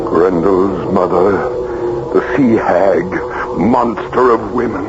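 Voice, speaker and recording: An actor in an old-time radio drama playing a troubled, fragile writer haunted by guilt, speaking in a measured, literary, brooding way. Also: male voice, sits low, loud music behind the voice. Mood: tranced, tormented. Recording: dull, noisy vintage radio broadcast.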